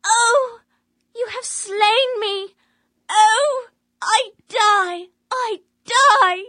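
A woman's voice: very high voice, very slow, sharp tone, no room sound, full character voice. An actress playing a spirited, kindly young Victorian English lady in a radio drama, refined, earnest and emphatic. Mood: playful, theatrical.

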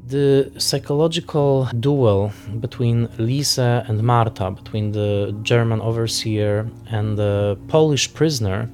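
A man's voice: mid-range voice, a little slow, few pauses, a little mellow. Man giving thoughtful film commentary over music. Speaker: film critic interviewee. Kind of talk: unscripted analytical interview commentary.

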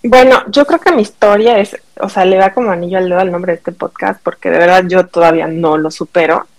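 A woman's voice: fairly low pitch, a little fast, poor audio. A middle-aged Mexican woman, a podcast guest telling a personal story in casual conversation, acting out what people said.

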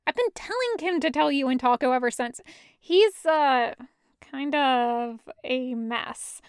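A woman voicing a gentle, concerned man in an audio story, speaking carefully and with some hesitation. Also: high voice, expressive, slow pace, clear, resonant tone, dry recording, studio quality, exaggerated voice. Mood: careful, placating.

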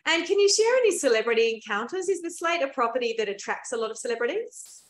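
A woman interviewer asking direct, brisk questions of her guest. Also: fairly high pitch, lively intonation.

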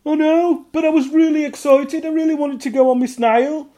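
A man voicing a small, mischievous, nosy goblin boy in a high, squeaky, whining voice. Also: fairly even intonation, normal speed, mellow. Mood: pleading.

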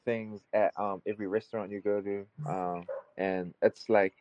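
A man's voice: on the low side, level tone, moderate pace, a little mellow, no echo, highs cut off. A man with a New Zealand accent, a guest musician chatting casually and laid-back in an interview, with lots of fillers and restarts. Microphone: remote call from far away.

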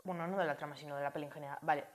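A woman's voice: deep voice, steady tone, racing. A woman chatting through a casual film review, addressing viewers with many fillers and restarts.

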